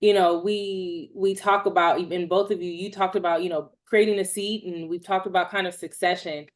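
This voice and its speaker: A woman hosting a panel who asks measured, careful questions, hedging with "kind of" and "right?". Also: on the low side, fairly even intonation. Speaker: host, moderator. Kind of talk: interview questions.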